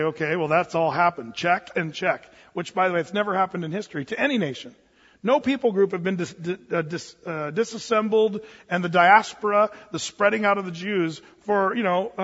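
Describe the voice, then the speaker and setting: A man's voice: high voice, fairly bright tone. A man giving an earnest, rambling talk on Bible prophecy, with many fillers and restarts. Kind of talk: informal preaching talk. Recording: dull lo-fi sound with long hall reverb.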